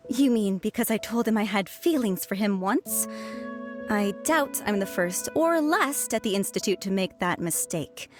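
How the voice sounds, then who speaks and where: A woman's voice: mid-range voice, animated, a little slow, soft background music, lightly acted. A woman voicing a lovesick, flustered researcher. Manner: flustered, defensive. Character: young female researcher, lovesick and defensive. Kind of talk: video game voice acting.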